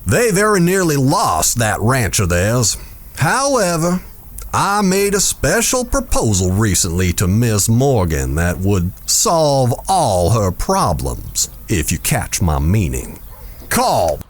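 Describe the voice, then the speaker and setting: An actor playing a smooth, scheming town boss in a western audio drama, measured, self-assured and insinuating. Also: male voice, mid-range voice, huge pitch swings, exaggerated voice. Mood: smug, insinuating.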